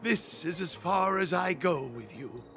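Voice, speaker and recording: A man voicing a stubborn, dutiful old master craftsman in a video game, speaking formally and firmly with a creaky voice. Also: on the high side, lively intonation, a little slow, pushed and bright, faint music behind. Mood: resolute, stoic. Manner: formal, firm, resolute.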